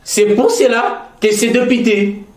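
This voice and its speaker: A man's voice: very high voice, steady tone, measured pace. A Congolese man with a Congolese French accent, speaking in a formal, earnest way, often repeating key phrases and hesitating as he goes. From Kasaï-Central, DR Congo. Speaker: interviewee, commenting on provincial politics. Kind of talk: formal, earnest public commentary. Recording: echoey room.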